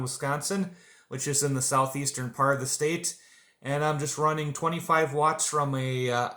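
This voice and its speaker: A man's voice: a little high, a bit bright, roomy sound. A man chatting casually as an amateur radio operator. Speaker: amateur radio operator narrating and making contact. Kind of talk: casual chat.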